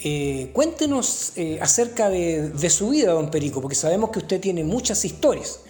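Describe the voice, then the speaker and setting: A woman's voice: fairly low pitch, sing-song, moderate pace, mellow. Hesitant woman hosting a comic interview. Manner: hesitant, many fillers, mock-formal. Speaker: host. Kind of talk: mock talk-show interview.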